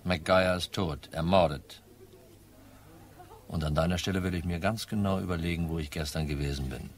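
An actor playing a tough, wry detective, terse and sardonic, in a hardboiled crime radio play. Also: male voice, sits low, moderate pace. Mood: menacing, mocking.

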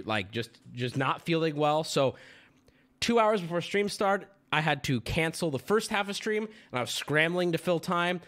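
A man's voice: fairly high pitch, a bit bright. A man in his thirties hosting a livestream from home, crude and joking with sudden yelps, and sniffly from a stuffy nose. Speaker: streamer host. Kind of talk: casual livestream chat. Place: home streaming room. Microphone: studio mic on boom arm with headphones.

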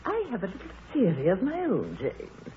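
A nosy, opinionated middle-aged spinster sister in a 1930s radio play. Her voice is low for a woman and swoops wildly as she gossips and shows off. The sound is thin and narrowband, like an old broadcast. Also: huge pitch swings, normal speed. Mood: eager, gossipy. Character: chatty, self-satisfied middle-aged spinster sister, nosy amateur sleuth. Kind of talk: 1930s radio drama acting.

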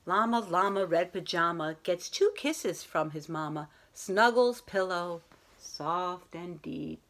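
A woman's voice: fairly low pitch, slow pace, fairly bright tone, a little hiss or hum. A middle-aged woman reading a picture book aloud to children, expressively, drawing out some words. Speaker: read-aloud host. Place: room at home, indoors.

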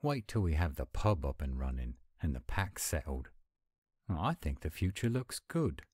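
A man voicing an affectionate, gruff-but-sweet werewolf boyfriend in a tender, intimate romantic audio roleplay. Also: a bass voice, sing-song, normal speed, darkish tone, no echo, studio quality, exaggerated voice. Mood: hopeful, affectionate.

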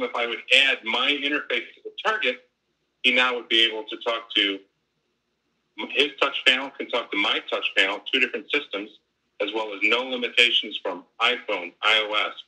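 A man's voice: mid-range voice, normal speed, bright, forceful tone, slightly rough, poor audio. A man in his forties explaining technical features conversationally over a video call. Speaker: technical specialist giving a demonstration. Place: home room, indoors, on a video call. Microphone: wired earbuds on a laptop video call.

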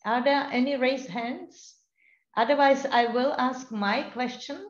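High-voiced woman in a roomy office. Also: measured pace. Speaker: event moderator. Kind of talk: hosting a Q&A. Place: office room with a desk. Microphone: far camera. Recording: roomy, dull far mic.

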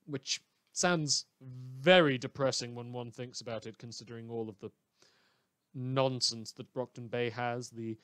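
A man playing an unhinged, sardonic radio host who delivers halting, wordy monologues in a theatrical manner. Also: mid-range voice, steady tone, a bit bright, dry recording, natural voice. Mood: sardonic, musing. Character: rambling, unhinged male radio host in a superhero world. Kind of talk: satirical radio news monologue.

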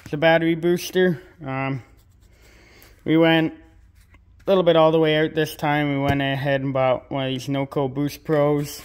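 A man's voice: on the high side, flat delivery, unhurried, clear, resonant tone. A young man vlogging from his farm workshop, narrating casually in a laid-back, rambling way with plenty of fillers. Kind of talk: casual narration while working.

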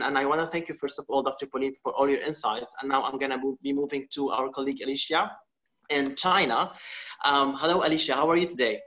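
A man moderating a webinar over a video call, polite and quick to thank the speakers. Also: medium pitch, sharp tone. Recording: dull video call audio.

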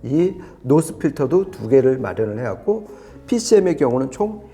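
A man's voice: mid-range voice, big pitch swings, moderate pace, warm tone, faint music behind. A man giving an unscripted technical product review in a conversational, explanatory and emphatic way. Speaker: audio equipment reviewer explaining specs.

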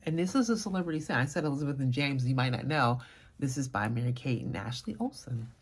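Soft-spoken woman with a low gravelly voice. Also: lively intonation, fast talker, heavy background noise. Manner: soft-spoken, murmuring while sniffing. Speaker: fragrance vlogger. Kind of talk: casual chat.

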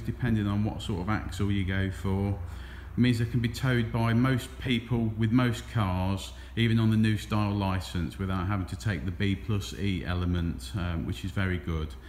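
A man's voice: fairly low pitch, normal speed, few pauses. Chatty man in an echoey warehouse. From England. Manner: chatty, relaxed, with frequent ums. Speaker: trailer dealer. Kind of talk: walkaround product video. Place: large trailer warehouse, indoors. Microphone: handheld phone camera, filming while walking. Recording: echoey warehouse with faint hum.

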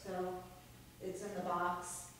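A woman's voice: on the low side, completely flat delivery, slow. A woman chats casually on a ghost investigation. She is a little far from the camcorder, in a very echoey room with faint noise. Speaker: paranormal investigator. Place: indoor room, away from the camera. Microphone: camcorder some distance away.

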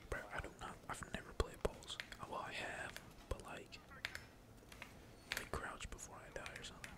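A person whispering casual, slangy, sweary gaming commentary in a breathy, unvoiced ASMR style. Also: normal speed. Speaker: gaming video creator. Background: computer keyboard typing.

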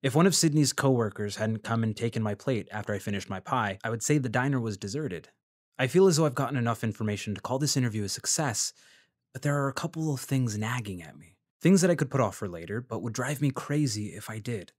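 A man playing an earnest freelance journalist in a fiction podcast, measured and tentative as he interviews and narrates. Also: medium pitch, expressive, dry recording, studio quality, close to an everyday voice. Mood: measured, reflective. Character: earnest, tactful male freelance journalist narrating his investigation. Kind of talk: fiction podcast acting, scripted first-person narration.

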